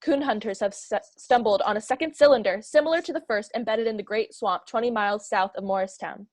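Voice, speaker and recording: Woman as highish-voiced formal radio announcer. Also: fairly quick, a little mellow, no room sound, close to an everyday voice. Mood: serious. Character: formal radio news announcer reading urgent war bulletins. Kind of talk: 1930s radio bulletin reading. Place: small plain room indoors.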